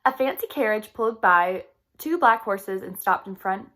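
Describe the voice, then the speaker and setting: A woman reading a children's book aloud in an expressive storytime style. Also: medium pitch, normal speed. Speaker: storytime reader.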